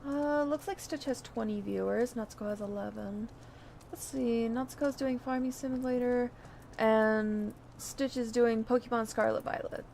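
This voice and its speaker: A woman's voice: on the high side, fairly even intonation, normal speed, vocal fry, a little mellow, slight background noise. A woman hosting a game livestream, chatting casually. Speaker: livestream host.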